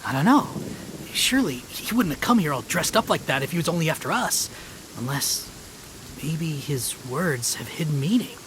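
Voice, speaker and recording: An actor playing a wary, easily impressed bandit in a video game, speaking uneasily. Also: male voice, a little high, lively intonation, normal speed, a bit bright, a little hiss or hum. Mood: wary, unsure.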